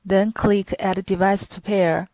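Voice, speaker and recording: A woman's voice: mid-range voice, steady tone, slow pace, darkish tone, no room sound. A woman reading a scripted product tutorial in a stilted, step-by-step way. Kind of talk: scripted instructional narration. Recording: clearly noisy recording with clicks.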